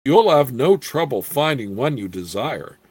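Man putting on a fussy little gnome proprietor, swooping pitch. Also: big pitch swings, unhurried, a little mellow, breathy edge, no echo. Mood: hurried. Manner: fussy, formal salesman's patter. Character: small old gnome proprietor, squeaky, eager, easily indignant. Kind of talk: fantasy storybook character reading.

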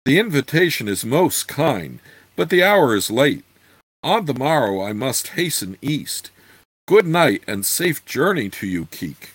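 A man's voice: mid-range voice, sing-song, measured pace, a bit bright, husky, no room sound, voice pushed up, heavily acted. A man voicing a polite, gallant male elf adventurer with formal, courteous phrasing, in a storybook reading.

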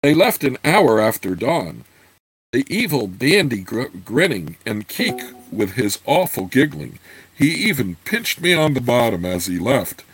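A man's voice: mid-range voice, big pitch swings, measured pace, slightly rough, no echo, rough recording. A man voicing a cross young tavern serving girl in a storybook, pouting and complaining.

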